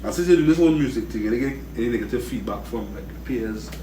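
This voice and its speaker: A man's voice: mid-range voice, lively intonation, quick pace. A man hosting an interview, chatty and often echoing the guest's words back. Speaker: interviewer host. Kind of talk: casual interview. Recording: echoey room, distant mic, faint noise.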